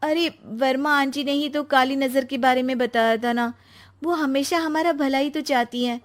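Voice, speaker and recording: A woman voicing an emotional young wife in a cartoon story, speaking in a pleading way that takes offense quickly. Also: sits high, steady tone, pitched above the performer's own voice, lightly acted. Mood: worried.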